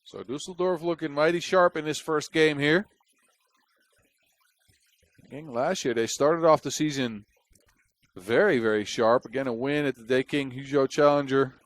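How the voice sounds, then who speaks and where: A man's voice: fairly high pitch, normal speed, pauses often, dry recording. A man from the Netherlands with a Dutch accent, giving chatty, wry play-by-play basketball commentary on a close mic. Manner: chatty and wry, with jokes between plays.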